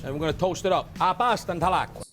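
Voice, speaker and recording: A man's voice: on the high side, fast talker. A man with an American accent presenting a cooking video, brash and direct to the camera, tossing in Italian phrases. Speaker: cook in an online video. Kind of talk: cooking demonstration. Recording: video clip with loud music. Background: music.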